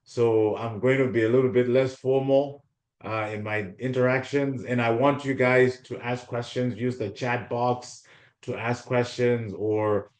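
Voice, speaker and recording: A man's voice: mid-range voice, steady tone, measured pace, darkish tone, echoey room. A man in his forties from Zimbabwe giving an informal workshop talk over a video call, full of ums and restarts. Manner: hesitant, many ums and restarts. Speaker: guest speaker.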